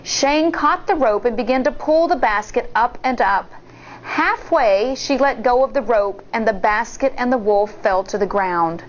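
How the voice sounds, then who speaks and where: A woman reads a picture book aloud like a schoolteacher. Her voice sits on the high side and moves slowly, with expressive storytelling. The sound is a little dull. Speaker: teacher reading aloud to children. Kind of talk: storybook read-aloud with commentary.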